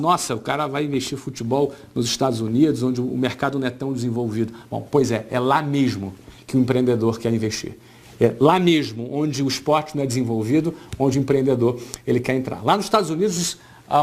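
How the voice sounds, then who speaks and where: Male, 40s, Brazilian Portuguese accent, mid-pitched voice. Entrepreneur giving a conversational motivational talk. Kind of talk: informal motivational business talk.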